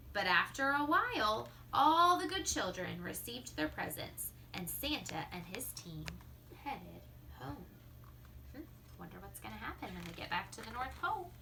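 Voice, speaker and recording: A woman reading a children's story aloud like a teacher, expressively, drawing out words and dropping into hushed asides. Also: fairly high pitch, creaky, a little hiss or hum, poor audio.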